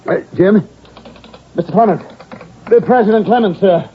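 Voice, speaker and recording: An actor playing a flustered, indignant, mild-mannered professor who protests and stammers in a radio drama. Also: male voice, high-pitched, normal speed, close to an everyday voice. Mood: nervous. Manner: stammering and protesting when pressed. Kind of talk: vintage-style radio drama acting. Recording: dull, noisy old radio broadcast recording.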